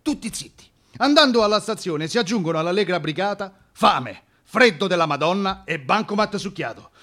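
A man's voice: sits high, animated, a little fast, bright, forceful tone. A man telling a comic first-person story for radio in a theatrical, colloquial way. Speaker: actor-narrator.

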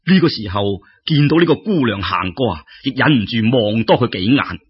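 A man telling folk tales as an expressive storyteller, in a conversational way that addresses listeners directly. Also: mid-range voice, brisk, slightly rough, highs cut off.

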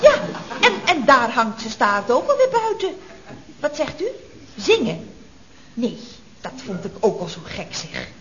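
Kwebbelende secretaresse, hoog en levendig. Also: female voice, fairly high pitch, sing-song, a little slow, pauses often, a bit bright, a little hiss or hum. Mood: chatty, amused. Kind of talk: 1970s radio comedy acting. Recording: dull vintage radio recording, audience laughter.

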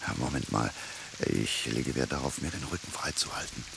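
An actor playing a scruffy, cocky, optimistic adventurer on the run in a radio play, chummy and given to boastful rambling. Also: male voice, a bass voice, animated, moderate pace, gravelly, fairly bright tone, a little hiss or hum, exaggerated voice. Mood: wary.

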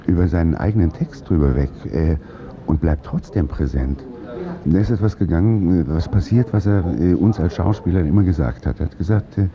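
A man's voice: rumbling low, lo-fi sound, a little hiss or hum. A man who works as a stage actor, reminiscing in an interview in a thoughtful, hesitant, searching manner.

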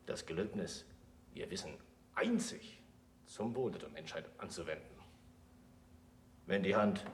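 An actor in a German radio play voicing a disgraced, self-accusing scholar who lectures in a solemn, deliberate, oratorical way. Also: male voice, lowish voice. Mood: bitter, resigned. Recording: noisy old radio play recording.

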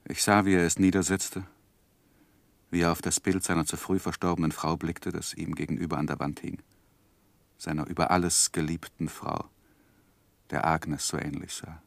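Deep grave guy telling his life story, old radio drama, very dry sound. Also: level tone, gravelly, no room sound. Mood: pained narration. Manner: grave, measured, literary. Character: earnest gentleman narrating his own life story. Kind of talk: 1960s radio drama narration.

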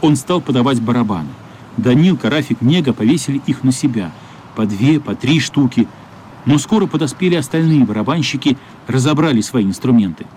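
A man's voice: mid-range voice, a little mellow, slight background noise, close to an everyday voice. A man narrating a children's radio play as a scripted storyteller. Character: male storyteller narrating a wistful children's adventure.

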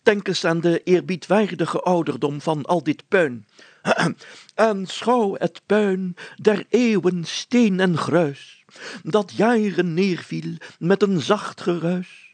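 A man's voice: high-pitched, slow, a little mellow, no echo, voice pushed up, exaggerated voice. A man voicing a pompous, blustering village mayor from a storybook, speaking in grandiose, declamatory style. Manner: grandiose, declamatory, easily indignant. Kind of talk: storybook character voice.

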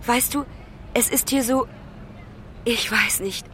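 An actress playing a travelling daughter on the phone to her mother in a naturalistic radio drama, halting and often breaking off mid-sentence. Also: a little high, sharp tone, slight background noise. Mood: tender, delighted.

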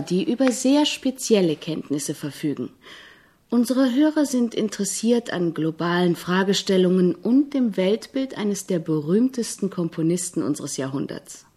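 An actress playing a persistent, highbrow woman music journalist with formal, wordy questioning in a radio play. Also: warm tone, lightly acted. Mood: polite, eager.

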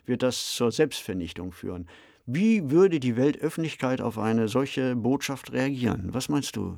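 A man's voice: medium pitch, mellow, no room sound, studio quality. A man co-hosting a discussion podcast. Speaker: podcast co-host.

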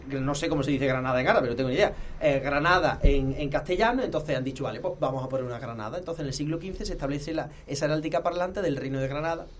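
A man's voice: medium pitch, a little hiss or hum. A man explaining things informally in a didactic way, often correcting himself and checking that he is followed. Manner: didactic, animated asides, self-correcting, checks with "¿no?". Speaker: guest expert on heraldry history.

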